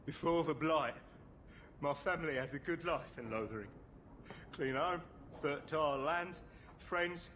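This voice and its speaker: A high-pitched, pleading peasant. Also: male voice, unhurried, long pauses, a bit bright, a little hiss or hum. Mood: sorrowful. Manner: pleading, deferential, folksy rustic grammar. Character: desperate, humble male refugee miner, meek and pleading. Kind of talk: video game cutscene acting.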